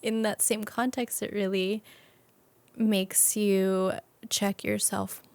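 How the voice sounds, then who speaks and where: A woman's voice: mid-range voice, level tone, slow pace, a little mellow, no room sound. A woman, a young adult, talking reflectively and casually as an interview guest.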